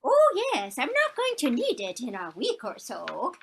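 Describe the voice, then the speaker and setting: A woman playing a frail but feisty, stubbornly proud old lady in a stage comedy, deliberate and theatrical. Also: high voice, huge pitch swings, creaky, a little hiss or hum, full character voice. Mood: brisk, determined. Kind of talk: stage comedy acting, one-act play.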